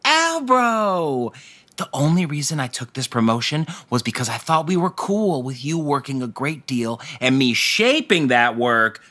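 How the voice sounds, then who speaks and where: An actor playing a brash, chummy, slow-witted young manager with slangy bro talk in a comedic audio play. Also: male voice, mid-range voice, very animated, bright, forceful tone. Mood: self-important.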